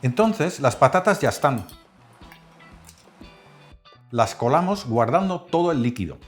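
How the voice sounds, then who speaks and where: Male cooking host, animated delivery over faint music. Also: medium pitch, unhurried. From Netherlands. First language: Dutch.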